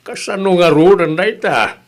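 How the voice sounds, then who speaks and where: A man's voice: high-pitched, a little slow. A man with an Ilocano accent playing a mild, reasoning father in a comedy audio drama. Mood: advising.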